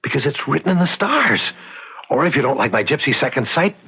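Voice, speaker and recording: An actor playing a cocky, conniving young drifter who sweet-talks and sneers in slang, in old-time radio drama style. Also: male voice, fairly high pitch, big pitch swings, a little fast, sharp tone, husky, highs cut off, full character voice. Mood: coaxing, scheming. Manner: slangy, insolent, sweet-talking. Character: cocky young drifter, smooth-talking conniving male lover.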